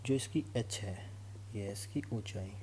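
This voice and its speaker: A man's voice: on the low side, a little slow, a little mellow. A man teaching an informal lesson, explaining in an instructional way and often checking that students follow. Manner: instructional, repeatedly checks understanding. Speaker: physics teacher for exam students. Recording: clear background noise with hum.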